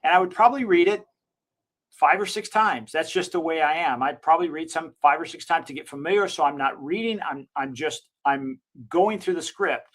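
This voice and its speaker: Man with a slightly high voice, chatty and enthusiastic. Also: normal speed, a bit bright. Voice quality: a bit higher-pitched than he expects. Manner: enthusiastic, rambling, frequent self-corrections and fillers. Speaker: business owner demonstrating video software. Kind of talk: casual screen-share tutorial.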